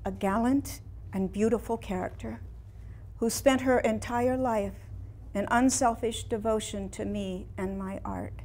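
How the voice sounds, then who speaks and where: A woman in her sixties playing an ailing, reflective elderly woman painter in a staged dramatic monologue, with theatrical, measured delivery and long pauses. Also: a little high, steady tone, a little mellow, lightly acted. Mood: regretful. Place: small indoor room before a seated audience.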